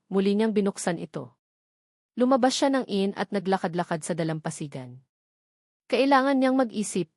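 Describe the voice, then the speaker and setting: A woman narrates a scripted dramatic tale. Her voice sits at a middle pitch for a woman, and the sound is dry, with next to no room on it. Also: darkish tone, no room sound.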